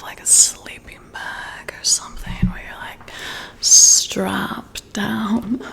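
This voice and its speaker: A woman doing soft-spoken ASMR, talking in a hushed, intimate, musing way as if chatting to one listener. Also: mid-range voice, creaky. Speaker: ASMR roleplay performer. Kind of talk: soft-spoken whispered ASMR, casual one-sided chat.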